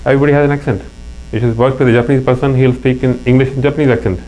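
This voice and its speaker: A man's voice: medium pitch, little pitch movement, very fast, warm tone, rough recording. A middle-aged man from Pakistan with a Pakistani accent, telling stories in an earnest interview chat. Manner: earnest, anecdotal, with frequent restarts. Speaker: interview guest. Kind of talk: casual interview talk. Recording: faint mains hum.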